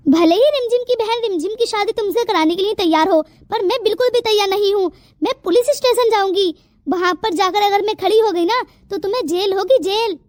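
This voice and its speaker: A woman's voice: extremely high pitch, fairly quick, a little mellow, dry recording, clean studio sound. A woman voicing a stern, indignant mother in a theatrical, scolding style for a Hindi family cartoon. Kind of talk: Hindi moral-story cartoon dialogue.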